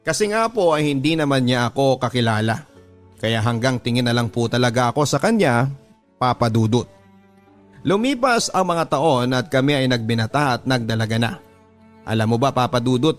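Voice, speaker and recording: A man with a Filipino accent narrating a scripted first-person love story in the style of a radio drama. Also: medium pitch.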